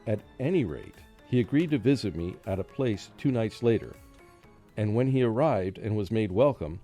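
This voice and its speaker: A man acts out a frightened professor in a radio horror drama. His voice sits low, halting and brooding, with music playing clearly underneath. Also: animated, mellow. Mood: sheepish, subdued. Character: frightened haunted male college professor recounting a curse.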